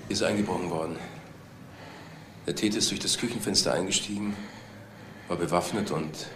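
An actor playing a businesslike police detective in a crime drama, reporting matter-of-factly in short fragments. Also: male voice, low voice, a little fast, natural voice. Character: businesslike male police detective, assistant to inspector. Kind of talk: German TV crime drama acting. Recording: very noisy, echoey soundtrack.

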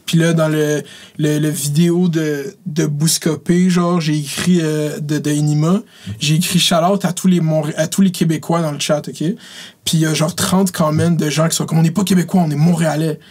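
A man with a Quebec French accent hosting a studio podcast, his voice a touch higher and husky. He asks his questions casually, sliding English slang into his sentences. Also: little pitch movement, normal speed, a little mellow. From Laval, Quebec. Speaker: podcast host.

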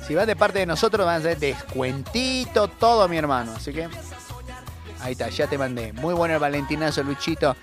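A man's voice: fairly high pitch, expressive, fairly quick, fairly bright tone, soft background music. A young man with a Bolivian accent, hosting a radio show with casual, joking, informal comic talk. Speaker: radio host and comedian. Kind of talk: casual comic radio monologue, reading listener messages.

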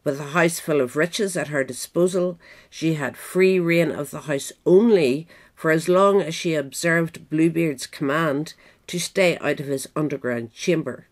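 Woman reading scripted nonfiction, measured. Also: deep voice. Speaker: audiobook reader. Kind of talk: scripted narration of a political history essay.

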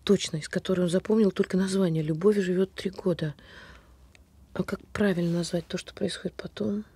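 Wounded, sardonic partner, slightly low voice, husky, pointed delivery, naturalistic audio drama. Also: female voice, steady tone, a little fast, dark tone. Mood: wistful. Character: hurt, sarcastic woman doubting her partner.

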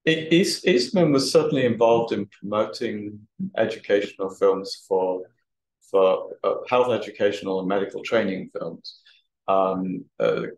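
A man giving an academic talk and answering questions, speaking haltingly and often repeating words. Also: lowish voice, darkish tone. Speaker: guest lecturer. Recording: roomy, echoey sound.

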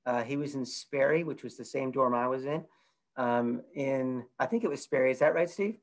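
A man's voice: medium pitch, moderate pace. A man in his fifties opens a webinar on a video call from home, with a loose delivery full of fillers. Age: 50s. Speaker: event moderator. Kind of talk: webinar introduction. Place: home room with shelves.

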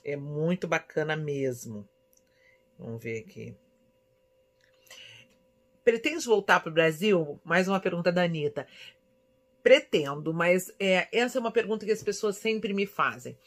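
A woman in her forties from São Paulo with a Brazilian accent, chatting casually and affectionately as she answers viewers' questions in an expressive, conversational way. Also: lowish voice, unhurried, pauses often. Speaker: vlogger. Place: small indoor room at home.

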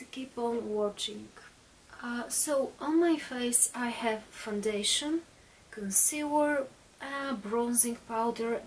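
A woman's voice: on the high side, recorded from a distance, a little hiss or hum, low-quality recording. A woman in her thirties, a native Bulgarian speaker, chatting through a makeup tutorial in a small bedroom with lots of fillers and restarts. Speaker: beauty vlogger.

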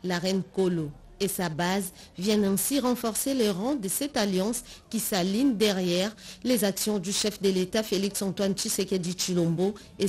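A woman's voice: medium pitch, darkish tone, slightly rough. A woman with a Congolese accent reading a scripted television news report.

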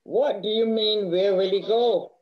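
A woman's voice: medium pitch, a little slow, natural voice. Woman reading as stern Caribbean older sister, muffled. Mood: stern, dry. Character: bookish, stern, matter-of-fact older sister in Barbados. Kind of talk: rehearsed play reading. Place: indoor room at home, on video call. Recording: dull video call audio.

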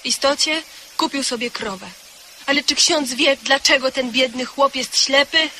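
A woman's voice: high-pitched, normal speed, bright, forceful tone, a little hiss or hum, low-quality recording. An actress playing an earnest female welfare inspector in a radio play, pointed and sincere. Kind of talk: 1970s radio drama acting.

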